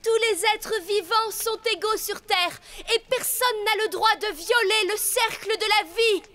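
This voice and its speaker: Very high-voiced young princess. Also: female voice, a little slow, sharp tone, full character voice. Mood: solemn, proud. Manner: earnest, solemn, declamatory. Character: young noble Native princess, brave envoy of peace. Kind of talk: French cartoon dubbing.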